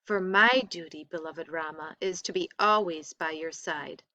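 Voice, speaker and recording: A woman voicing a devoted, gentle young princess in a storybook, earnest and tender. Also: sits low, a little slow, no echo, highs cut off.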